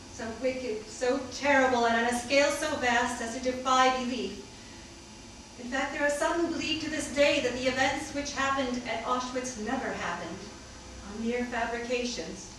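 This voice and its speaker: A woman playing the grave wife of a Nazi commandant, in her forties, speaking a solemn, deliberate monologue in a staged reading. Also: on the high side, natural voice. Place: hall, recorded from a distance. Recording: very echoey hall, far mic, loud noise.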